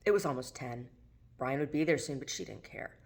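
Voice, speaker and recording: A woman's voice: extremely low pitch, animated, a little fast. A woman narrating her own work of fiction as an audiobook reading. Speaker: author reading own novel aloud.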